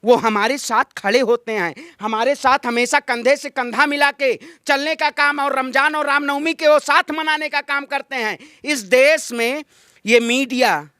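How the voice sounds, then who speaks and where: A man's voice: very high-pitched, steady tone, moderate pace, bright, forceful tone, no room sound, clean studio sound. A man giving an impassioned political interview in a combative, declamatory manner, repeating phrases for emphasis. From Ayodhya, Uttar Pradesh, India. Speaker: political activist being interviewed.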